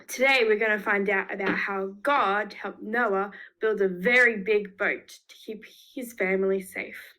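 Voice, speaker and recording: A woman's voice: medium pitch, steady tone, unhurried, few pauses, pushed and bright, rough recording. A woman with an Australian accent reading a picture book to young children, expressively, with emphatic repetition. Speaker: children's storyteller.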